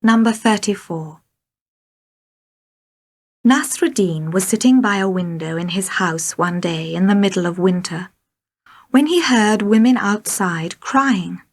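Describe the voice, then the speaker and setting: A woman's voice: medium pitch, a little slow, pauses often. A woman reading short elementary stories aloud as scripted narration for learners. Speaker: story reader.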